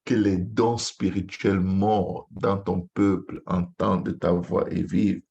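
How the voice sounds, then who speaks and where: A man's voice: deep voice, flat delivery, slow pace, gravelly, mellow, dull audio. A man leading a fervent, improvised Pentecostal prayer as a pastor, pleading in repetitive, chant-like invocations.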